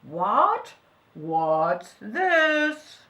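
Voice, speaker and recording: Woman playing a mild, courteous priest, slow-paced. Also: medium pitch, sing-song, a bit bright, roomy sound. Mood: startled. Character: polite tea-loving male priest, mild, easily startled. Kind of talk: storybook character voice.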